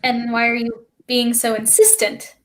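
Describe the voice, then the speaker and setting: A woman playing a defiant, quick-witted child spacewalker in a theatrical script reading, flustered yet argumentative. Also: a little high, flat delivery, fairly bright tone. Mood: suspicious. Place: remote online stream.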